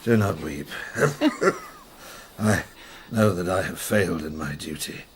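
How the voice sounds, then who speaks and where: An actor playing a dying, pious older missionary, speaking slowly and weakly to his sister in a deep, gravelly voice. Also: big pitch swings, a little hiss or hum, full character voice. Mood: tender, weak. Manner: slow, faint, tender speech. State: dying, weak.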